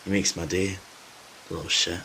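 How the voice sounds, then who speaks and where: A man playing a gruff, loyal zombie apocalypse survivor in an audio drama, talking informally and hesitantly with some swearing. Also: low-pitched, little pitch movement, moderate pace, pushed and bright, a little hiss or hum, exaggerated voice. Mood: subdued, reflective.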